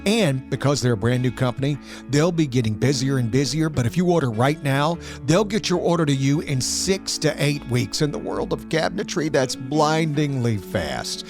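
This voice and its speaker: A man's voice: mid-range voice, lively intonation, moderate pace. An American man reading a scripted advertisement as a sponsor announcer. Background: guitar music.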